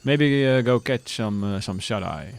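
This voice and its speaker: A man in his thirties, streaming live and chatting casually with viewers in a relaxed, rambling way. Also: lowish voice, unhurried, gravelly, slight background noise.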